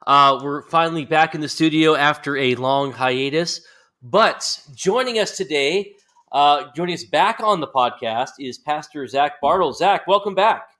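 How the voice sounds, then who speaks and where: A man's voice: fairly high pitch, measured pace, bright, forceful tone. An American man hosting a podcast, chatting casually with joking banter. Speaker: podcast host. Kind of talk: casual interview chat.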